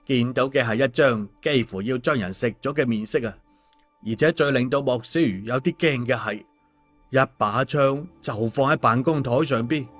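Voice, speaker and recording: Male storyteller over music. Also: medium pitch. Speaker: audiobook narrator. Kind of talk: scripted story narration. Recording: music under the voice. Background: background music.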